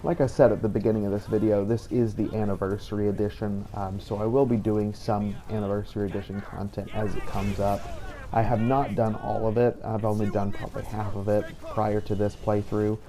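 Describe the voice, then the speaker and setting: A man's voice: fairly low pitch, flat delivery, a little fast, dark tone. A man hosting a gaming channel, giving a casual, explanatory spoken introduction to a playthrough with a somewhat hesitant delivery. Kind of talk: casual gameplay introduction commentary. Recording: noticeable background noise.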